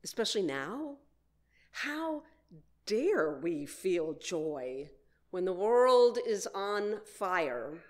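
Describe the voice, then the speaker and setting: A woman minister delivering a prepared sermon in measured, deliberate phrases with frequent pauses. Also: medium pitch, sing-song.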